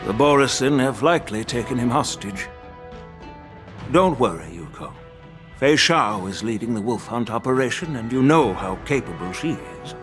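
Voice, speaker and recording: An actor playing a calm, shrewd veteran general in a video game, speaking in a measured, instructive way. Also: male voice, mid-range voice, over music, close to an everyday voice. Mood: reassuring. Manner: measured, deliberate, gently instructive. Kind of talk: scripted video game acting.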